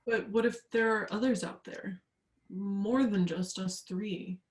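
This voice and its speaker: Woman acting a haunted earnest young woman, mid pitch, urgent n halting, stage play reading. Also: steady tone. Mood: insistent.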